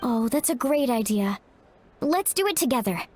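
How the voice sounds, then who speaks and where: A woman's voice: fairly high pitch, lively intonation, normal speed, darkish tone, natural voice. A woman playing a friendly, upbeat young tribeswoman in a video game, with a breezy, casual way of talking. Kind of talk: scripted video game voice acting.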